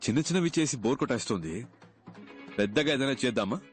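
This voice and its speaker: An actor playing a bold, defiant young gang leader, speaking in a cocky, taunting, slangy way. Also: male voice, medium pitch, animated, quick pace, faint music behind, natural voice. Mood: sly, confident. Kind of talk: film drama dialogue.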